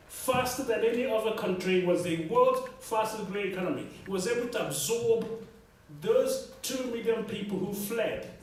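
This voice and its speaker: A man, a Yoruba speaker, argues his case at a public debate, hammering home points and asking the audience for agreement. His voice sits unusually high for a man. It is picked up from a distance in a big echoing hall, with faint noise underneath. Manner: emphatic, repeats key phrases, asks the room for agreement. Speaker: debate panelist. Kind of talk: impassioned public debate talk. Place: large echoey lecture hall.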